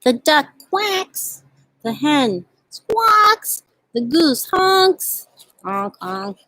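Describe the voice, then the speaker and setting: A woman with a husky voice hosting a storytime for young children, speaking gently and in simple phrases as she reads and chats outdoors. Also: high-pitched, very animated, very slow, no room sound, rough recording. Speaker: children's storytime host at a community farm. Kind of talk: storybook read-aloud and casual chat to children. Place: outdoors at a farm.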